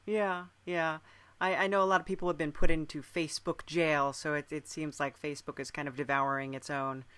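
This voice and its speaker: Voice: medium pitch, level tone, a little fast, a bit bright, clear, resonant tone. A podcast host in casual interview conversation, speaking thoughtfully with hesitant phrasing.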